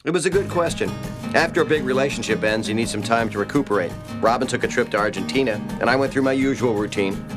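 An actor playing a reflective father telling his past in measured, wry sitcom voice-over. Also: mid-range voice, music in the background, lightly acted.

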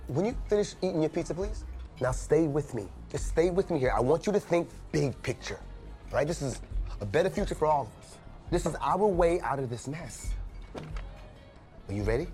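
A man's voice: on the high side, lively intonation, moderate pace, darkish tone, close to an everyday voice. A man acting as an earnest middle-aged American father chasing a second chance, in a naturalistic drama. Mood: firm, pleading. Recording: music behind the dialogue.

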